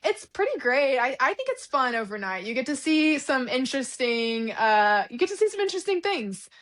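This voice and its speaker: A woman's voice: high-pitched, sing-song, moderate pace, fairly bright tone. A young woman from Louisiana with no regional accent, a veterinarian guest chatting casually and laughing often. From Louisiana, USA. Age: young adult. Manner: friendly, laughs often, modest. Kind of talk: casual interview chat.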